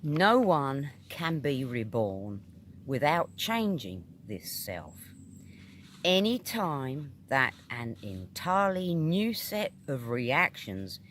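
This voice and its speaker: A man with a British accent reading a spiritual essay aloud in a measured, emphatic, oratorical manner. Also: medium pitch, a bit bright, slight background noise. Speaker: reader of metaphysical essays.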